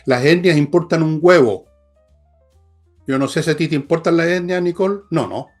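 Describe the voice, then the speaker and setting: A man's voice: fairly high pitch, normal speed, darkish tone, soft background music. A man with a Chilean accent giving opinionated political commentary as a host, emphatic, scornful and blunt, with coarse slang.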